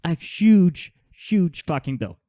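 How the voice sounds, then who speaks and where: A man born in Holland with Danish citizenship, hosting a podcast in casual, animated chat and often repeating words as he thinks. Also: on the high side, takes it slowly, no echo, studio quality. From Denmark and Holland. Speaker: podcast host.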